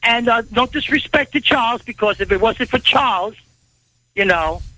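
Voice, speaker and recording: A man's voice: very high-pitched, expressive, moderate pace, sharp tone, no room sound, poor audio. A man calling in as a guest on a talk show, boastful and profane, stammering over his words. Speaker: phone-in guest. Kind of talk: brash casual radio chat.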